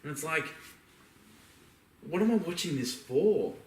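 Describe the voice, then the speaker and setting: A man's voice: fairly high pitch, lively intonation, measured pace. A man co-hosting a podcast, chatting casually in a rambling, slangy, conversational way. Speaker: podcast co-host. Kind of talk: casual chat about film and games. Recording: roomy, echoey, distant mic.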